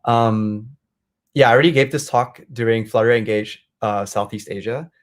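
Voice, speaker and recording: A man from Malaysia giving a relaxed, filler-heavy presentation as guest speaker on a video call. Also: mid-range voice, moderate pace. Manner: relaxed, many ums and likes. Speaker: guest speaker, software engineer. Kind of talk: online meetup presentation.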